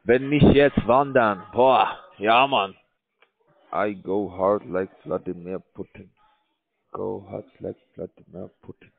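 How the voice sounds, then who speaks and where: A man calling from a call center, rambling in a bored, crude, halting way. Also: fairly low pitch, steady tone, creaky. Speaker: call center scam caller. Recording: dry tinny phone line.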